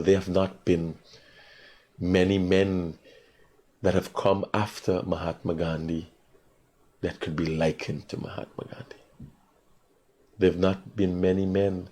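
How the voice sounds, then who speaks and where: A Guyanese man in his forties with a low voice hosts a morning call-in show. He muses slowly on his own, then talks loud and fast when he argues with a caller. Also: level tone. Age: 40s. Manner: slow and reflective alone, loud and rapid when arguing. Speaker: radio call-in host.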